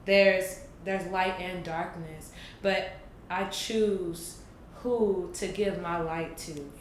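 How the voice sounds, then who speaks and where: A woman in her late twenties, talking thoughtfully and deliberately in a casual group conversation, with emphatic bursts. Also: fairly low pitch, steady tone. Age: 20s. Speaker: podcast guest. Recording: echoey room with faint noise.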